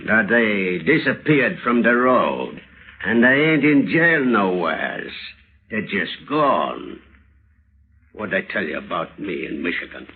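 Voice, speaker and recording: An actor playing an uneducated, crooked drifter in an old-time radio thriller, with rough, slangy, ungrammatical speech. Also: male voice, on the low side, very animated, slow pace, heavily acted. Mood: defensive, wary. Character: uneducated crooked drifter, boastful drunk turning terrified. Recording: scratchy, tinny old radio recording.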